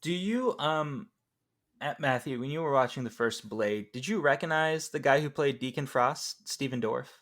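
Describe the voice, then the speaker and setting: A man's voice: mid-range voice, moderate pace, fairly bright tone. A man hosting a casual film discussion podcast, talking in a conversational way. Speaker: podcast host.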